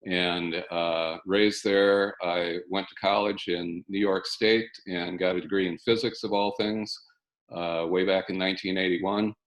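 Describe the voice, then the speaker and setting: A man in his sixties from South Dakota, giving a halting, restart-filled panel talk over a video call from his basement. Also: deep voice, fairly even intonation, poor audio. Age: 60s. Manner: measured, halting, with many restarts. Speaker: panelist, fire scholar. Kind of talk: online panel presentation. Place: home basement.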